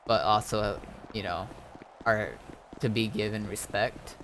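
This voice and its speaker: A man giving a casual, rambling gaming commentary in a halting way, often trailing off. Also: on the low side, fairly even intonation, fairly bright tone, slight background noise, poor audio. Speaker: gaming video host. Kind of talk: casual rambling gameplay commentary recapping roleplay events.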